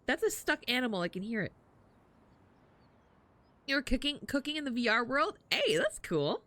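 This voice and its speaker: Bright-voiced, blunt woman game streamer. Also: fairly high pitch, very animated, normal speed. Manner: blunt, sweary, chatty. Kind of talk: casual live-stream game reaction. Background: game music.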